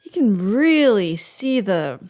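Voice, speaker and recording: Woman playing a sardonic agent, lively pitch. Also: takes it slowly. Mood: wry. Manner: sarcastic, dry. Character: sardonic female secret agent with dry barbs. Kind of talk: scripted audio comedy acting.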